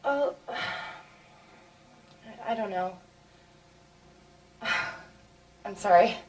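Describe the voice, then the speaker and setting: A woman's voice: mid-range voice, extremely slow, a little mellow. A shy, stammering young woman. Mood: tender. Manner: hesitant, stammering, apologetic. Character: shy, earnest young woman, easily embarrassed. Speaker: woman apologizing to a neighbor. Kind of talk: film dialogue. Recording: distant mic with faint background noise.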